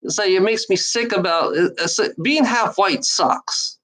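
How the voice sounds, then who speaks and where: A woman's voice: medium pitch, unhurried, fairly bright tone. A woman delivering an angry, profane monologue to the camera, repeating phrases and restarting sentences often. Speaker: online commenter.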